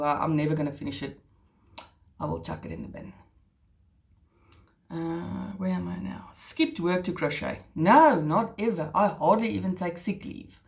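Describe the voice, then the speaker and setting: A woman's voice: low-pitched, darkish tone. A middle-aged woman, an Afrikaans speaker, hosting her crochet channel in a chatty, self-deprecating way with hesitant restarts. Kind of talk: casual chat.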